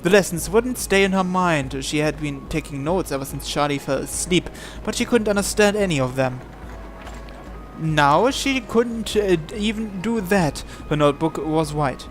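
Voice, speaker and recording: やや高めの声で朗読する男性. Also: male voice, a little high, lively intonation, moderate pace. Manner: stumbles and repeats words while reading. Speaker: gamer translating a visual novel aloud. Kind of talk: live read-aloud narration in English. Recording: faint music behind the voice.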